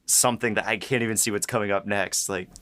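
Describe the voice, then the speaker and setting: A man co-hosting a casual behind-the-scenes discussion, talking in a halting way with repeated words and many filler phrases. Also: on the low side, steady tone, fairly bright tone. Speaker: writer and podcast co-host.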